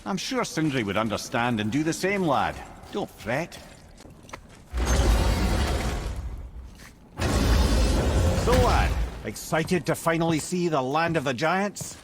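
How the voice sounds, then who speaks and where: Man acting lively old Scottish storytelling sage. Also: on the high side, moderate pace, few pauses, heavy background noise, lightly acted. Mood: genial. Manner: eloquent, expressive storyteller. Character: genial, learned old male storytelling sage. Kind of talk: dramatic video game storytelling.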